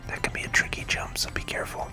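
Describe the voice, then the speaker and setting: Someone giving gentle, unhurried whispered ASMR commentary while playing a video game, talking through each move close to the microphone. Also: over music. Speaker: video game playthrough host.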